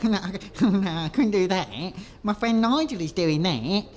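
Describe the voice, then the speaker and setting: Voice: mid-range voice, expressive, measured pace, a little mellow, a little hiss or hum, close to an everyday voice. A performer playing a meek, earnest, simple-minded jobless man in a comic radio sketch, polite and hesitant.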